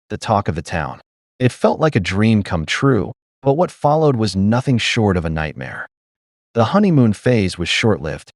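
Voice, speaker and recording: A man narrating a scripted dramatic story in a solemn, measured storytelling manner. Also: lowish voice, a little mellow, no echo, clean studio sound.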